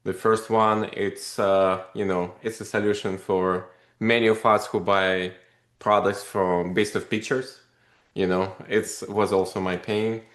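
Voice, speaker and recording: A man, a company founder telling his story in a casual interview, animated and rambling with many fillers. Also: on the low side, a little slow. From Russia. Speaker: podcast guest, company founder. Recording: echoey room.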